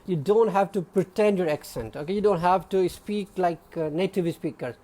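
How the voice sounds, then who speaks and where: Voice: medium pitch, normal speed, warm tone. An exam prep teacher with a Pakistani English accent, giving a live online class in an explanatory way and often checking in with the students. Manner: explanatory, checks in with frequent "okay?"; addresses students by name.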